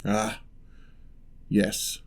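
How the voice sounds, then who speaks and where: A man playing a lonely, gentle male giant in a fantasy ASMR roleplay, speaking in a measured, wry, slightly formal way. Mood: matter-of-fact, bargaining.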